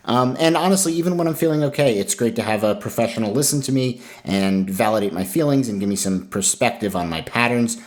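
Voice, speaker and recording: A man's voice: on the low side, a little mellow. A man speaking as a podcast host, reading announcements and sponsor messages in a conversational, earnest way.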